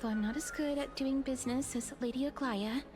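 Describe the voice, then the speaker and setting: Gentle cursed heroine acted by a woman, formal and hesitant, fairly high voice, music underneath. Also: fairly even intonation, brisk, close to an everyday voice. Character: gentle, solemn, long-lived cursed young-sounding woman, reserved. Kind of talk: video game voice acting. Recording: game audio with clear background music.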